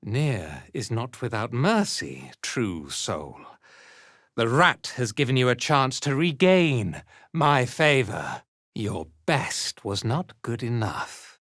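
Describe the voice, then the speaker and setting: A man playing a haughty, cruel dark elf zealot commander in a video game, speaking in imperious, menacing, theatrical pronouncements. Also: mid-range voice, big pitch swings, slow pace, no echo, clean studio sound, heavily acted. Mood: angry, betrayed. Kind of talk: video game character acting.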